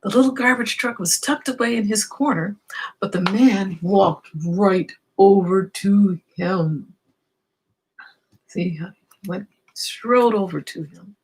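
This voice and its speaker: A woman reads a storybook aloud to children at an unhurried pace. Her voice is slightly husky and mid-pitched. She is expressive and speaks directly to young listeners, adding small explanations and questions. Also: poor audio.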